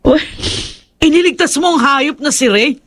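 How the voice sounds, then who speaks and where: A woman's voice: high voice, unhurried, a bit bright, no room sound. A woman playing a spiteful, stubborn young woman in a horror radio drama, with a curt, indignant manner.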